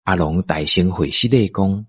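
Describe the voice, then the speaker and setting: Deep, husky Taiwanese male narrator. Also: moderate pace, fairly bright tone. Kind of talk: scripted storytelling narration for radio drama.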